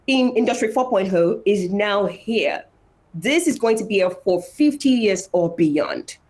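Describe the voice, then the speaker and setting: A woman's voice: medium pitch, animated, measured pace, a little mellow. A woman with a Nigerian accent speaking as an interview guest, personal and motivational, repeating phrases emphatically for stress. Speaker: interview guest, engineer and foundation founder.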